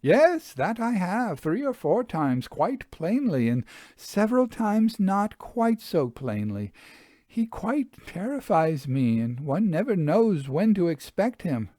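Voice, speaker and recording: A man's voice: sits high, big pitch swings, slow pace, dark tone, no room sound, voice pushed up, exaggerated voice. Man acting a nervous farmer's wife, storybook character, earnest, emphatic, haunted-house witness, dry sound. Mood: excited, insistent.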